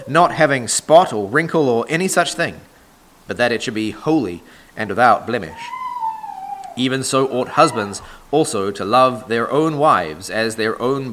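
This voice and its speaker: A man preaching a sermon with a scratchy, hoarse voice from a sore throat. He reads scripture and then teaches in a steady, measured cadence, at an ordinary middle pitch for a man. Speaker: pastor.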